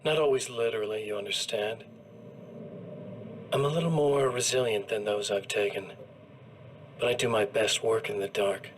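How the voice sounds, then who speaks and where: A man's voice: on the low side, slight background noise, natural voice. An actor playing a gleeful, menacing evil doppelganger in a video game cutscene, taunting and drawing out his words in mockery. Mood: smug. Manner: taunting, drawn-out and mocking.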